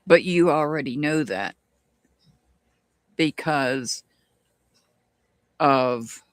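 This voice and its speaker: Slow, musing reader with a Southern US accent. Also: mid-range voice, no room sound. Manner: slow, musing, restarts often. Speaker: tarot reader. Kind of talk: live-stream tarot reading, answering chat.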